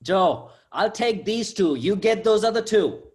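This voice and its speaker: A man's voice: sits high, lively intonation, a little slow. A man voicing a cocky, brash young delivery sidekick with slangy banter in an amateur table read. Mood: upbeat swagger.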